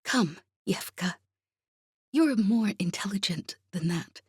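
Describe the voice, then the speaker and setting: A woman voicing a calm, calculating veteran female spy in an audiobook, speaking softly and breathily with quiet assurance. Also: mid-range voice, slow pace, slightly rough, no echo, clean studio sound, voice pushed up, heavily acted. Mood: coolly taunting. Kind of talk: audiobook character acting.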